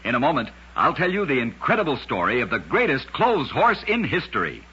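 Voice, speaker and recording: A man with a raspy voice narrating scripted oddities for an old-time radio show in a dramatic, emphatic storyteller's delivery. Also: medium pitch, huge pitch swings, a little fast, pushed and bright. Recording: dull, lo-fi vintage radio recording.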